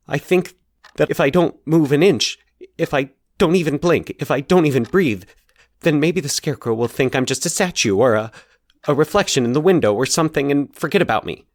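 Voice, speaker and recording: A man voicing an anxious, halting man confessing a recurring nightmare in a horror audio story. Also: fairly high pitch, lively intonation, no echo, studio quality, voice pushed up. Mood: frightened, pleading. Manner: nervous, halting, stumbling over his words. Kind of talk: dramatized horror audio fiction.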